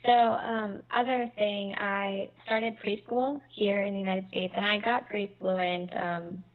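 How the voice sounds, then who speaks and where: A woman's voice: mid-range voice, flat delivery, a little slow, phone-quality sound. A young woman from Mexico raised in Kentucky, with a light Kentucky accent, speaking reflectively as an interview guest over a video call. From Mexico, raised in Northern Kentucky. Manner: reflective, many fillers.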